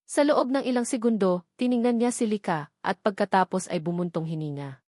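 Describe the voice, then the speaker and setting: A woman narrates a scripted audio drama. Her voice sits at a medium pitch. The sound is very dry, with no room on it. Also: fairly quick, dark tone, no room sound.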